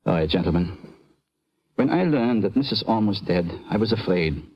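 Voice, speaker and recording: Defensive immigrant doctor for vintage radio drama: formal, precise, indignant, rough-edged voice, dry close sound. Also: male voice, on the low side, expressive, moderate pace, a little mellow, dry recording. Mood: uneasy.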